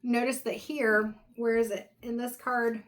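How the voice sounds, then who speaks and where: A woman giving a casual tarot reading straight to the viewer. She is losing her voice and keeps clearing her throat as she talks in a meandering, reflective way. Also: fairly high pitch, little pitch movement, a little slow. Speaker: tarot reader.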